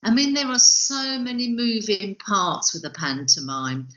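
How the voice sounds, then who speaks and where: Female podcast host, bright crisp-edged delivery, conversational questioning, roomy and slightly muffled audio. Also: mid-range voice, very animated, measured pace.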